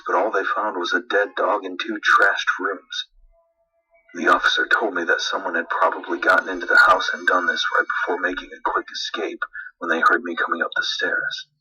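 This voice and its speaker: A man's voice: low-pitched, level tone, moderate pace, bright, forceful tone, slightly rough, soft background music, low-quality recording. A man reading a scripted first-person horror story as narrator, with dramatic, suspenseful delivery.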